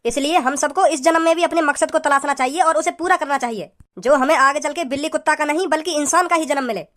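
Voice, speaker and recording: A man playing a scolding, preachy village father in a comedy cartoon, with a pitched-up voice. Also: quick pace, a bit bright. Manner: preachy, repetitive, hectoring.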